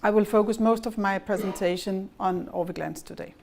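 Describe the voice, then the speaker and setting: Middle-aged Danish-accented woman, company executive, calm explanatory conference talk at a mid-range pitch. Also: vocal fry, slight background noise. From Denmark. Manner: measured and explanatory, with occasional fillers and restarts. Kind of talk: investor conference presentation.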